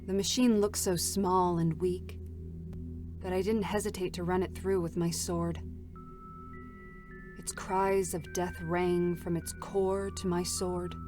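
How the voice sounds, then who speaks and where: A woman voicing a weary, battle-hardened female android deserter, speaking in a cold, flat, bitter way during a live concert reading. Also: lowish voice, takes it slowly. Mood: somber. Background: faint music.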